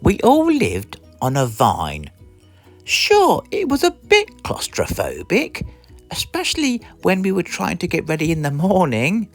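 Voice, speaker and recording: A British woman reading a children's storybook aloud in an expressive, theatrical way. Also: lowish voice, measured pace, soft background music. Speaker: storybook reader. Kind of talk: animated scripted storybook narration.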